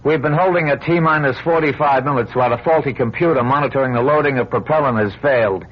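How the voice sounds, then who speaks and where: Worried, old-fashioned mission controller played by a man, American, husky, muffled old radio drama sound. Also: mid-range voice, fast, natural voice. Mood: official.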